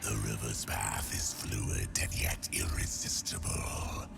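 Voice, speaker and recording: An actor playing an ancient, cunning and contemptuous beast god in a video game, with a gravelly, breathy growl and a grandiose, menacing delivery. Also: male voice, rumbling low, level tone, takes it slowly, heavily acted. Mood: sly, condescending. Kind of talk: theatrical video game character acting. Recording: loud music under the voice.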